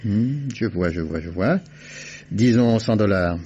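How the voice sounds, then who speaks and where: Insistent gentleman sleuth, lowish pitch, polite and probing, vintage radio drama with faint hiss. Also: expressive, measured pace. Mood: conceding, bargaining. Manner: probing, polite but pressing. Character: insistent, urbane man investigating to save a condemned man. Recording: dull, noisy old radio recording.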